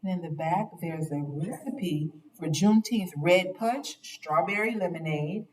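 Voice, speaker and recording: A woman reading a children's picture book aloud with deliberate, dramatic phrasing as a storyteller. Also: fairly low pitch, a little mellow, far from the mic, a little hiss or hum, rough recording.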